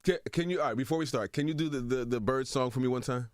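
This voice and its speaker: A man's voice: medium pitch, fast, no echo, studio quality. A man hosting a casual interview show, upbeat and asking short follow-up questions.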